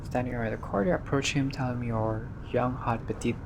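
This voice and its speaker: A man doing a casual game playthrough, reading dialogue aloud and reacting with expressive, slangy asides. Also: mid-range voice, brisk, gravelly, background noise. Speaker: gaming video player reading dialogue aloud.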